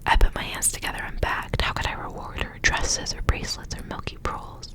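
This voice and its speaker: A woman speaking in a soft, breathy whisper, hushed like an ASMR reader sharing a story. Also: fairly quick. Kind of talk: whispered ASMR story reading with casual asides.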